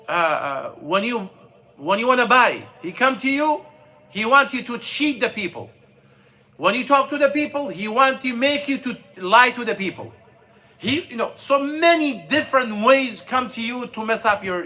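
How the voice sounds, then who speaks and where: High bright-voiced man teaching a class. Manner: plain, repetitive explaining with restarts, asks the class questions. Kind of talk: informal religious lesson. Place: mosque classroom.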